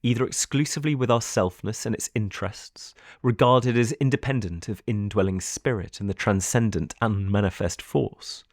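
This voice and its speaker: A man reading a scripted philosophical audiobook in a measured, formal manner. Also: on the low side, darkish tone, dry recording, clean studio sound. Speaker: narrator.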